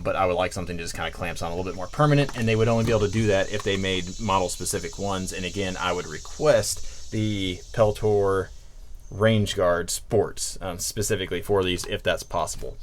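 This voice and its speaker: Low-voiced man giving a casual gear review. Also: a little fast, a little hiss or hum. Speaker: product reviewer.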